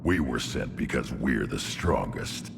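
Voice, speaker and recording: Growling, rock-bottom deep alien warrior brute. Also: male voice, very animated, a little slow, exaggerated voice. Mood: proud, solemn. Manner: blunt, terse, gruff. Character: young, battle-hungry alien warrior brute, blunt and proud. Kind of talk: video game character acting. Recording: clear background ambience noise.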